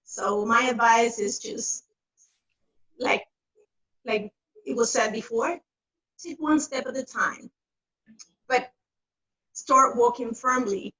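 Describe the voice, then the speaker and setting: A woman's voice: a little high, pushed and bright. A woman in her fifties from the Dominican Republic, Spanish her first language, speaking earnestly and searching for words. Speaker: graduate. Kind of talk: video call conversation. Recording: dull lo-fi call audio.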